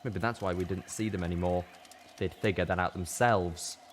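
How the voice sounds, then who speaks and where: Male audiobook narrator, lowish voice, dramatic first-person fiction, some background noise and ambience. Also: fairly even intonation, moderate pace. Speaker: story reader.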